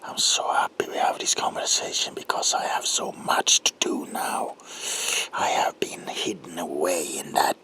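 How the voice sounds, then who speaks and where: A man playing a sadistic, gloating monstrous Victorian villain in a horror ASMR roleplay, with a whispery rasp and a slow, menacing, theatrical delivery. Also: extremely low pitch, steady tone, bright, forceful tone, heavily acted. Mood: sinister gloating. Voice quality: whispery, creaky, breathy rasp.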